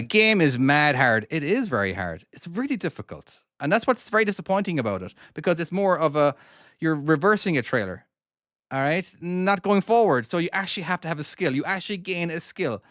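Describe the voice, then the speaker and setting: A man's voice: fairly high pitch, a bit bright, no room sound, clean studio sound. A man from Cork with an Irish accent, casually talking through his own trucking game on a live stream and answering viewers' questions. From Ireland (Cork). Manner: casual, talks himself through each maneuver and answers chat questions. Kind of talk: live gameplay commentary.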